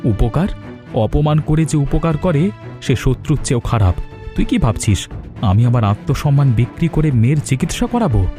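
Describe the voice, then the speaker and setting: Dignified poor cartoon dad, male actor, mellow, firm and moralizing, over soft backing music. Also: medium pitch, expressive, normal speed, close to an everyday voice. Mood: indignant. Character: proud, injured poor villager father, dignified and defiant. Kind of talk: Bengali moral-story cartoon voice acting. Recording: music behind the voice.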